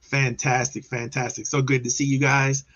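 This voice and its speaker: A man's voice: mid-range voice, level tone, normal speed, a bit bright, dull audio. A man from Maryland hosting a casual online business show over a video call, talking in an upbeat, enthusiastic way with frequent restarts. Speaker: host.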